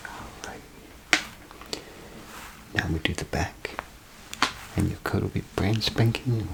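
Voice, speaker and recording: Very deep, hushed, creaky ASMR man. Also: background noise. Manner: hushed, half-whispered, unhurried and casual. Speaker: ASMR performer playing a bathroom attendant. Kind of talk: soft-spoken ASMR role play.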